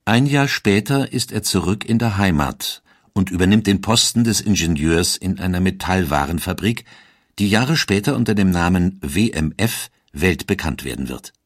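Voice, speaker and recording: A man narrating a historical audiobook in a steady, formal way. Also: sits low, moderate pace, no echo, clean studio sound. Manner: steady, formal storytelling delivery.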